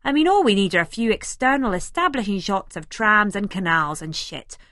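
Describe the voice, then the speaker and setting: A woman's voice: mid-range voice, animated, normal speed, fairly bright tone, no room sound, studio quality, lightly acted. A woman voicing a pompous, scheming young man who directs amateur films in an audiobook. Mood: argumentative.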